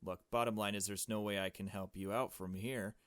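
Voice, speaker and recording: A man's voice: fairly low pitch, steady tone, fairly quick, a bit bright, smooth, no room sound, studio quality, lightly acted. A man playing an exasperated, dismissive male relative in a visual novel, impatient and curt.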